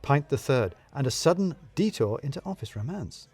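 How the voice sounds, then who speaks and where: Guy narrating a funny story, wry and theatrical, really lively pitch, dry studio sound. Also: moderate pace, breathy edge, dry recording. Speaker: narrator of a comic murder mystery story. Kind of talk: expressive first-person scripted storytelling.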